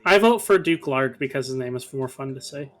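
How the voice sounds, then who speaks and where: A man's voice: mid-range voice, expressive, brisk, soft background music. A man chatting casually and jokingly while playing and commenting on a video game with friends. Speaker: co-player and commentator on a game series. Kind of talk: casual joking chat over gameplay.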